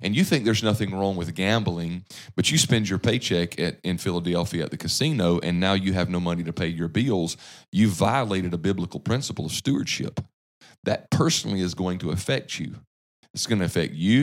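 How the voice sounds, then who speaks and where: A man in his thirties with a deep, low voice, making his points like a preacher on a church podcast. He talks earnestly and often restarts a sentence partway through as he works out the thought. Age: 30s. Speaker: podcast host.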